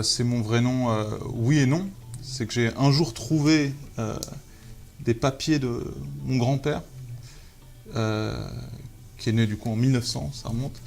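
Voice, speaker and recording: A man in his thirties from Algeria, a photographer guest telling his story in a relaxed interview, hesitant with many fillers and restarts. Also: mid-range voice, a little slow. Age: 30s. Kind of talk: casual interview talk.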